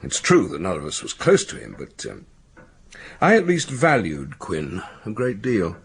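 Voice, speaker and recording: An actor playing a proud, stiff British academic in charge of an institution in a radio drama, speaking formally and self-importantly. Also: male voice, low-pitched, huge pitch swings, measured pace, gravelly, a little hiss or hum, full character voice. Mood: amused, guarded.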